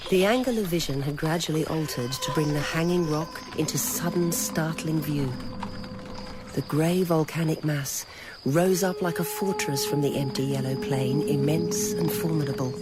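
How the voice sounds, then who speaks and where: A woman narrating a dramatic scripted story in a deliberate, literary manner. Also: deep voice, over music. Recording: noisy old radio recording.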